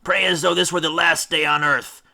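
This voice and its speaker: Man acting a loud, commanding sea captain, forceful. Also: fairly high pitch, steady tone, normal speed, vocal fry, voice pushed up, full character voice. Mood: urgent, rallying. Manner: loud, urgent shouted orders. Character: commanding male sea captain urging on his rowers. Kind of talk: dramatic storybook reading.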